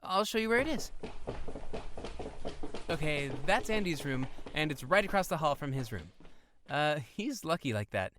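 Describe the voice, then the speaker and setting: A woman's voice: low voice, sing-song, normal speed, pauses often, noisy background. A woman playing a kind, concerned young boy in an audio drama, casual, a little hesitant and reassuring. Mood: helpful. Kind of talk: naturalistic audio drama acting.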